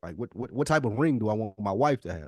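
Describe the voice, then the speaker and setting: Man, fairly low voice, casual podcast co-host, informal emphatic repetition, advice-giving, dry sound. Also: lively intonation, fast, husky, no echo.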